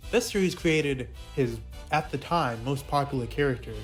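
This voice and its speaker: Male narrator for a scripted video essay, mid-range pitch, light music underneath. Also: moderate pace. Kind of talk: scripted documentary-style narration.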